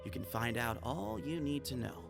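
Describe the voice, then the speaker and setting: A sly young male schemer in a video game, smooth and teasing as he dodges questions. His voice has a gravelly edge and a lively, rolling pitch, with a music score underneath. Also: normal speed. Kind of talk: game cutscene dialogue.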